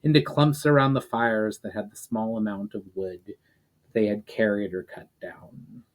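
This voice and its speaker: A man's voice: lowish voice, fairly even intonation, measured pace, low-quality recording. A man giving a dramatic scripted narration of a fantasy story as a storyteller. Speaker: author and storyteller.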